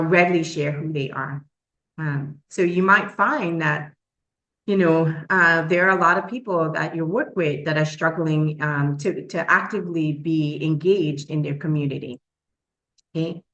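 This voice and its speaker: Professor from Trinidad, woman, lowish register, earnest and halting lecture delivery in a roomy space. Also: a bit bright, dull audio. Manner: frequent ums and uhs, earnest. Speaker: professor giving a talk. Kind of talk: webinar presentation.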